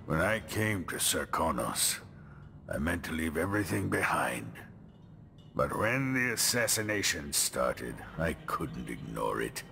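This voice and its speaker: An actor playing a weary, battered old male genius inventor in a video game, speaking gravely and haltingly. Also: rumbling low, very animated, sharp tone, long reverb tail, full character voice. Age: older. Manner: slow, halting, grave storytelling.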